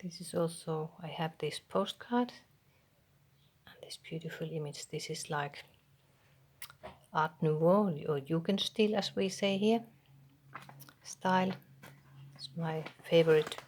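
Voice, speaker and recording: A Finnish woman speaks in a low, slow, hushed voice with a gravelly edge, often dropping into a whisper. She has a Finnish accent and hesitates between phrases as she shows her crafts at a home table, with a faint hiss behind her. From Finland. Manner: soft half-whispered, hesitant, with pauses and ums. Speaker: craft channel host. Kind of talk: soft show-and-tell of a handmade journal.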